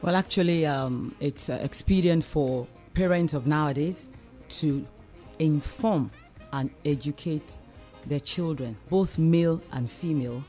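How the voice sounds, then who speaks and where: A woman's voice: low voice, a little slow, warm tone, faint music behind. A woman with a Nigerian accent speaking as a discussion guest, explaining earnestly and repeating phrases for emphasis. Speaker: discussion guest, community advocate.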